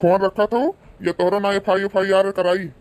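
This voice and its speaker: A man's voice: high voice, little pitch movement, normal speed, darkish tone, rough recording. A man playing an angry, middle-aged village father in an Awadhi comedy cartoon, confrontational and taunting.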